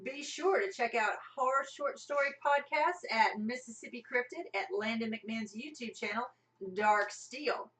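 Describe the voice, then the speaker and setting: A woman's voice: a little high, moderate pace, a bit bright, recorded from a distance. A woman, chatting casually as co-host of a live stream. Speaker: livestream co-host and radio play performer. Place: indoor room, group sharing one mic. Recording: echoey room, off from the mic.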